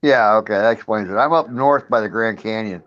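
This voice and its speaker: A man's voice: fairly low pitch, fairly quick, muffled recording. An older man who retired from law enforcement, chatting as a guest in a friendly, agreeable way. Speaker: chat guest, retired police officer.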